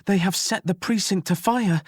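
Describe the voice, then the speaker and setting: A man's voice: high voice, moderate pace, slightly rough, dry recording, studio quality, voice pushed up. A man voicing a panicked female jailer who pleads and weeps. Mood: urgent. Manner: pleading, panting, frantic.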